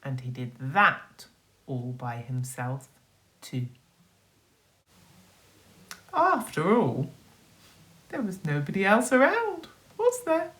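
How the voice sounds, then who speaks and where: Very expressive, unhurried woman reading to children. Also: low voice. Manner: expressive, dramatic emphasis, questioning the listeners. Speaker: school teacher reading a story to children. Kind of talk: animated children's storytelling with asides.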